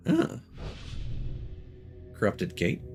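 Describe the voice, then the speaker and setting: A man's voice: very slow, a little mellow, soft background music. A man streaming a video game and chatting casually as he plays, thinking aloud with fillers and small laughs. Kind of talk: casual live gameplay commentary.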